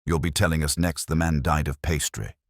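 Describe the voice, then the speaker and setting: A man voicing a gruff, sceptical male police inspector who talks bluntly and mockingly in an audiobook detective story. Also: rumbling low, fairly even intonation, fairly quick, no room sound, clean studio sound. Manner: blunt, scoffing.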